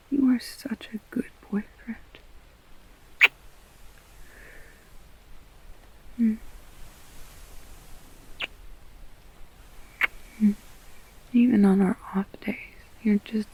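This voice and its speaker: A woman's voice: mid-range voice, vocal fry, dark tone, slightly rough, heavily acted, low-quality recording. A young woman playing an affectionate, self-deprecating girlfriend in an intimate roleplay audio, rambling candidly and casually. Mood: tender, hushed. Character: affectionate, self-deprecating girlfriend comforting her partner, goofy storyteller.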